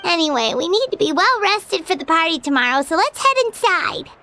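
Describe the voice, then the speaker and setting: A woman voicing a tiny, excitable, childlike fairy companion in an anime game dub, squeaky and exclaiming. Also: a little fast, full character voice. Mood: tired, whiny. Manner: refers to self by name, exclaiming.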